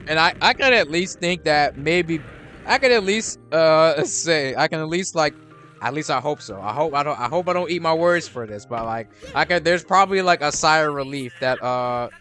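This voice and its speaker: A man's voice: fairly high pitch, lively intonation, normal speed, bright, forceful tone, faint music behind. A young man giving rambling, casual commentary while playing a video game, restarting his sentences and swearing freely. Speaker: gaming streamer.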